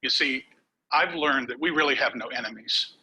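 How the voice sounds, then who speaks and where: A man giving a prepared contest speech over a webcam, with dramatic pauses and words dropped to a whisper for emphasis. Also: mid-range voice, sharp tone, slightly rough, rough recording. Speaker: contest speaker. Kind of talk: prepared speech delivered online.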